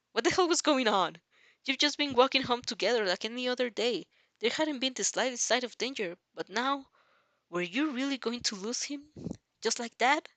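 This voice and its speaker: Bright-voiced woman narrating fan fiction. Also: medium pitch, no echo. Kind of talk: dramatic audio story narration in second person.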